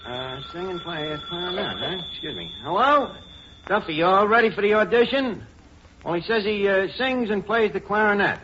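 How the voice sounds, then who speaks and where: Bumbling New tavern manager, swooping delivery. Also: male voice, high voice, lively intonation, normal speed, bright, forceful tone, full character voice. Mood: officious, fumbling. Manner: hesitant, colloquial, mangles grammar. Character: bumbling fast-talking male tavern manager, mangling words. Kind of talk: 1940s radio comedy acting. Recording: muffled, noisy vintage radio recording.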